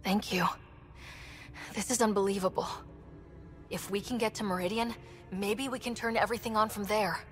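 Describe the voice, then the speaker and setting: An actress playing a young human space explorer in a video game, earnest and determined. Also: female voice, mid-range voice, steady tone, normal speed, long pauses, soft background music, natural voice. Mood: awed, hopeful. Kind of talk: naturalistic video game acting.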